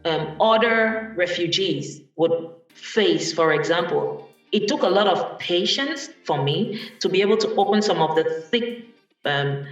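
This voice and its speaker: A speaker shares a personal journey at an event, thinking aloud with pauses and restarts. The voice is picked up from a distance in a big, very reverberant room. Faint music sits in the background. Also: medium pitch, highs cut off. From Cameroon. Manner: thoughtful, many pauses and restarts. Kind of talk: personal account at a panel event.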